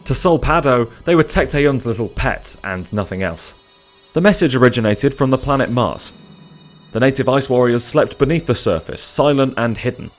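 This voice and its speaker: A man's voice: mid-range voice, lively intonation, normal speed. Male story narrator over music. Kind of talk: scripted dramatic story narration.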